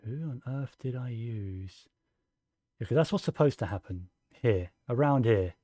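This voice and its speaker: A man's voice: medium pitch, lively intonation, a little slow, mellow, no echo. A man giving casual live gameplay commentary, muttering and thinking aloud as he goes. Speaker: game streamer. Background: faint game music.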